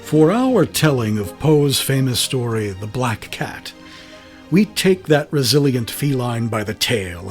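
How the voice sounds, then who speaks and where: Theatrical male radio drama host. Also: mid-range voice. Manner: theatrical, measured storyteller phrasing. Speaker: host, star and producer. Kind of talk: scripted radio theater introduction.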